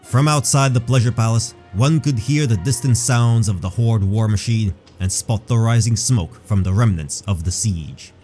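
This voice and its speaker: A man narrating a scripted fantasy story in a measured, dramatic storytelling manner. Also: lowish voice, a little mellow, faint music behind.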